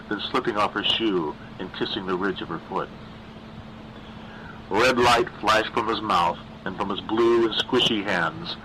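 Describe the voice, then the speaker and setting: Male horror audiobook narrator: slightly low, sharp-edged, dramatic scripted delivery. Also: slight background noise, rough recording.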